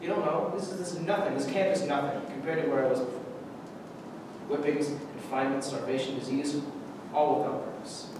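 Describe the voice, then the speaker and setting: An actor in a staged reading playing a tense, embittered soldier held prisoner, clipped and argumentative. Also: male voice, steady tone, brisk, lightly acted. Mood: defiant, bitter. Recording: echoey hall from far off, noisy rumble.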